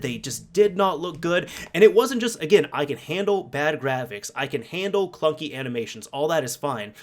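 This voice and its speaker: A man's voice: on the high side, a little mellow. A man talking casually to viewers as a video game YouTuber, conversational and emphatic, repeating points for stress. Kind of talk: casual video commentary with personal anecdotes.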